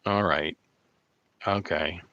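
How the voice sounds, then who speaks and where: A slightly hoarse man chatting casually on a live stream, rambling with many fillers and restarts. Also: deep voice, steady tone, slow, bright, forceful tone, muffled recording. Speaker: RV vlogger hosting a live chat.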